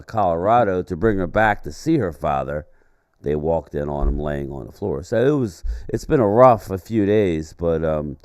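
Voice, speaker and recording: Man with a deep, raspy chest-cold voice. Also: darkish tone, dry recording, studio quality. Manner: halting, frequent fillers and restarts. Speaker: co-host. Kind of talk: casual livestream chat.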